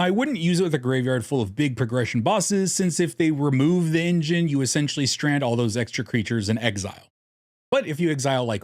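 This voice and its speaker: A man's voice: fairly high pitch, no echo, clean studio sound. A man narrating a scripted strategy guide in a jokey, irreverent way, casually swearing as host. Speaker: host of a card game deck guide.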